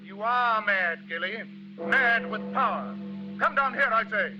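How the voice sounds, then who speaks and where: An actor playing a shrewd, commanding English gentleman detective, crisp and theatrical, with a British accent in an old radio mystery. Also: high-pitched, lively intonation, unhurried. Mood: urgent. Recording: muffled old radio broadcast, music underneath.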